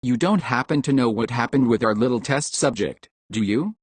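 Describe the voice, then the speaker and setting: Man acting as husky, animated accusing lab worker. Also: medium pitch, normal speed, no room sound. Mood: suspicious, probing. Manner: pointed, insistent questioning. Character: accusing male lab employee named Zachary, confrontational, suspicious. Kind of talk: amateur audio drama acting.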